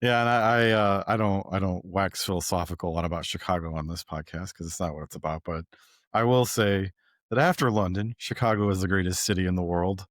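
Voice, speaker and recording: A man with an American accent hosting a podcast, chatting casually in a halting, rambling way. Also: sits low, no room sound, clean studio sound. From American Midwest. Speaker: podcast host. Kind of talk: casual conversational interview.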